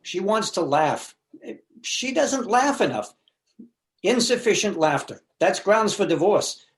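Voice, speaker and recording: A high-pitched, neurotic film critic played by a man in a stage comedy. He complains in a deadpan, self-pitying way about his divorce. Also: slow, heavily acted. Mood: wry self-pity. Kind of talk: stage comedy readers theater acting.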